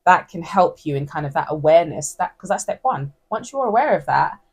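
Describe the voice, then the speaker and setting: Woman host, husky low voice, chatty and reflective, lots of ums. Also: lively intonation, brisk. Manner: affirming, reflective, many fillers. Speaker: podcast host. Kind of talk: casual chat.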